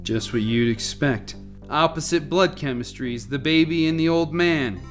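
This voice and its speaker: Radio drama scientist, played by a woman: low-pitched, careful, reassuring, faint music underneath. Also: unhurried. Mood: thoughtful. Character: cautious senior scientist trying to keep colleagues calm. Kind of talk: amateur radio play acting.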